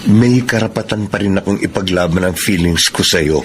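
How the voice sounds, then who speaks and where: An actor with a Filipino accent playing an earnest, lovestruck suitor in a melodramatic radio drama. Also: male voice, deep voice, moderate pace, close to an everyday voice. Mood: ardent pleading. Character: ardent, lovestruck male suitor pleading his love. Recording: noisy audio with ambient sound.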